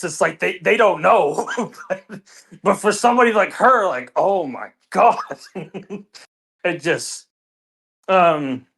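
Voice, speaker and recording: A woman chatting casually as a player in a tabletop roleplaying game. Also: on the low side. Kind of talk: casual chat while roleplaying.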